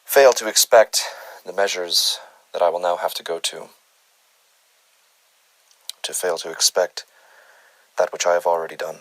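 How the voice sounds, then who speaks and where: A man's voice: low-pitched, measured pace, long pauses, fairly bright tone, dry recording, poor audio. Doctor Adam Dwyer, a man playing a brooding doctor. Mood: grave, confessional. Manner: formal, wordy, self-searching confession. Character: brooding male doctor confessing a strange case.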